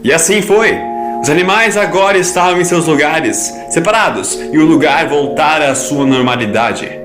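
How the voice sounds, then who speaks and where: A man's voice: a little high, normal speed. A man with a Brazilian accent reading scripted narration for an audio drama. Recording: music behind the voice. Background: music.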